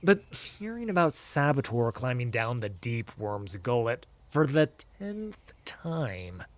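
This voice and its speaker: A male audiobook narrator delivers epic fantasy prose in a theatrical style. His mid-pitched voice swings widely in pitch, and he takes his time, with measured, deliberate phrasing. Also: sing-song, no room sound. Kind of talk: dramatic scripted fantasy narration.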